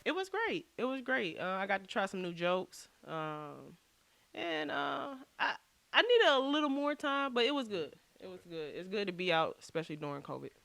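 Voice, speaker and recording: A woman's voice: medium pitch, sing-song, moderate pace, gravelly, a bit bright, no room sound. A woman in her thirties from Detroit, a comedian answering in an easygoing way during a casual interview. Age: 30s. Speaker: comedian guest.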